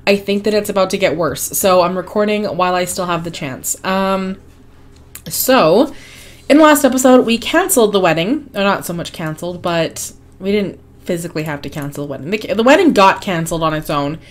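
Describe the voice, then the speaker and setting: A woman hosting a life-sim game video, her voice hoarse and fading, chatting casually with frequent ums and small laughs. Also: fairly low pitch, animated, a little fast. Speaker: gaming channel host. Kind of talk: casual gameplay commentary.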